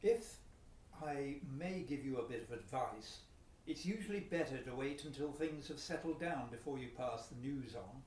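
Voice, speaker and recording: A British actor playing a polite, sober policeman with a formal, measured delivery in a radio play. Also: mid-range voice, animated, a little mellow, lightly acted. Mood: formal, helpful. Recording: echoey, distant, noisy room.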